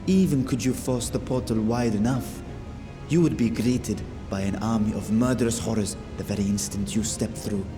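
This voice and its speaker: A man's voice: on the low side, normal speed, music in the background, lightly acted. A man playing a grave, cautionary, battle-hardened veteran in a fantasy video game, with solemn and formal old-fashioned phrasing. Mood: stern, warning.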